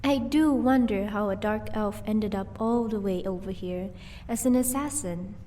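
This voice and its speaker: A woman playing a vampire's playful, possessive wife in an audio roleplay, teasing and doting with quiet menace. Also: mid-range voice, moderate pace, recorded from a distance, slight background noise, natural voice. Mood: cool threat.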